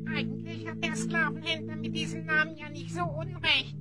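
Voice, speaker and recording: A woman's voice: high voice, flat delivery, normal speed, over music, natural voice. A woman playing a cheeky, boastful little creature in a fantasy audio drama, speaking theatrically and often in rhyme. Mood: sly. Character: cheeky small rhyming talking creature, mocking and boastful.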